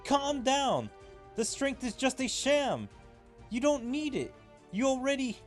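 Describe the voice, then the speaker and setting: A man voicing earnest, excitable young anime game characters in an emphatic, dramatic amateur read-aloud. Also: extremely high pitch, slow, soft background music, pitched above the performer's own voice, heavily acted. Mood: intense.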